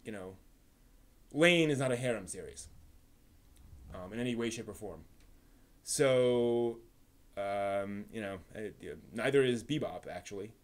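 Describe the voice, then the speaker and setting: A man hosting a casual live stream, rambling analytically as the host, often halting and repeating words while thinking aloud. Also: fairly low pitch. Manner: analytical, explanatory.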